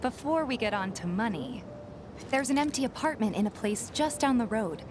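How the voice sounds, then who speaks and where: Kind earnest young woman, voiced by a woman; bit high, expressive, soft and a touch flustered. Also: normal speed, background noise, close to an everyday voice. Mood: warm. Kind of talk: video game voice acting.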